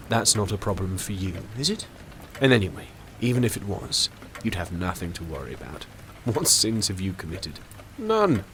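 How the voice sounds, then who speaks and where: An older doctor, worldly and unsentimental. He reasons drily in a low, measured voice. The audio drama has faint noise behind him. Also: a bit bright.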